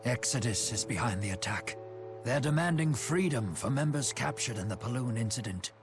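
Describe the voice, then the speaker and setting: A genteel elderly gentleman with a medium-pitched voice, formal and composed, a statesman in a video game, acted by a man. His measured lines sit over clear music. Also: animated, lightly acted. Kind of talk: video game cutscene acting. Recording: music behind the voice.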